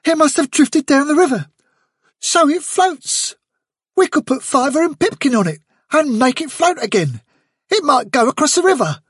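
A man voicing a clever, excitable young rabbit who talks quickly and eagerly. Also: extremely high pitch, dry recording, clean studio sound, voice pushed up.

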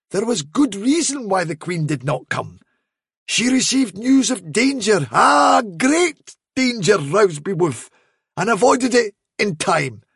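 A man's voice: high-pitched, creaky, fairly bright tone, husky, dry recording, clean studio sound, full character voice. A man voicing a cunning male trickster rabbit posing as a fairy, in a storybook reading, delivering sly, solemn, dramatic warnings.

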